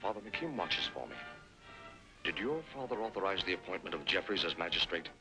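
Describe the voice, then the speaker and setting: An actor playing a steady, secretive western hero, calm and measured, in an old-time radio drama. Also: male voice, low voice, music in the background, low-quality recording. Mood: calm, guarded.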